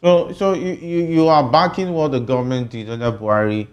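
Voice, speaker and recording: A man's voice: mid-range voice, steady tone, brisk, highs cut off. A man moderating a political discussion as a television host. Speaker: TV talk show host.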